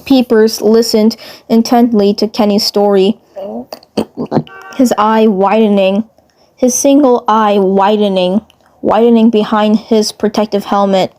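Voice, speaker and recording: A woman narrating a fan fiction story aloud in a halting way, often stumbling and rereading words. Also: medium pitch, fairly even intonation, darkish tone.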